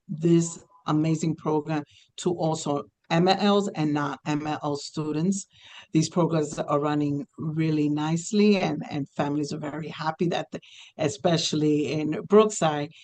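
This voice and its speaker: Man, higher voice, school committee meeting, hesitant, thinks aloud. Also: a little mellow. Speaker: district multilingual program staff.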